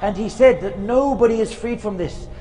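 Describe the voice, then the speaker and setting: High-voiced man preaching earnestly. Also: mellow, in a large hall, faint music behind. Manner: earnest, slow, with Arabic recitation chanted. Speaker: preacher giving a short reminder. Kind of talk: earnest sermon to camera. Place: outdoors in a graveyard.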